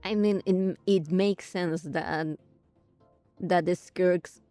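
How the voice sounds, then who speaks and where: A woman giving casual commentary on a game playthrough, thinking aloud in a halting way. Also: lowish voice, fairly even intonation, mellow. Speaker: gaming streamer. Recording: game music behind the voice.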